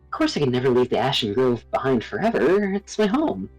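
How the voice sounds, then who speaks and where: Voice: mid-range voice, big pitch swings, a little fast, soft background music, poor audio. A performer voicing a wistful young forest ranger in a visual novel, earnest and dreamy, longing for adventure. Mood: yearning.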